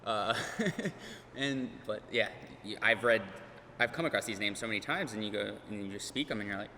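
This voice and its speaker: A man's voice: fairly low pitch, normal speed, pushed and bright. A man and podcast host speaking casually on a conference panel, chatty and self-deprecating. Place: large conference hall. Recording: hall reverb, faint room noise.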